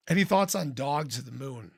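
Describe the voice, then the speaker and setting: Creaky, slightly hoarse man livestreaming casually. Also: mid-range voice, no room sound, studio quality. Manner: informal, playful, frequent throat clearing. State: slightly hoarse, losing his voice. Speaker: livestream host, crypto commentator. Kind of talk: casual livestream chat, reading and answering viewer comments.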